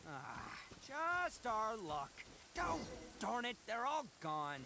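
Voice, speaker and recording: A man's voice: extremely high pitch, huge pitch swings, takes it slowly, vocal fry, background noise, exaggerated voice. A man voicing a boisterous young braggart warrior in a video game, goofy and good-hearted, with a swaggering, colloquial, theatrical delivery. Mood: dismayed. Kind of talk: video game character acting. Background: game music.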